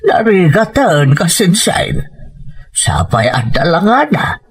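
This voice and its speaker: A man playing a nosy, gossiping uncle in a radio drama, insinuating with drawn-out interjections. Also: sits high, wildly swooping pitch, husky, heavily acted. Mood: gossipy, sly. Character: nosy, gossiping uncle who sows doubt. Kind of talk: Ilocano radio drama acting.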